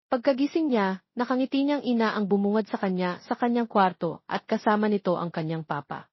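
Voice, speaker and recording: A woman's voice: medium pitch, brisk, no room sound, muffled recording. A woman narrating a scripted love story as an audiobook reader.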